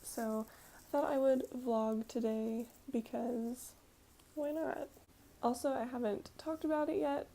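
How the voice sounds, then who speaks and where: A young woman in her early twenties talks casually to her vlog audience. Her voice sits fairly high and has a strong creak to it. She rambles informally, dropping in little side notes as she goes. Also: dark tone. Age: early 20s. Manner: informal, rambling, conversational asides. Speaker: vlogger.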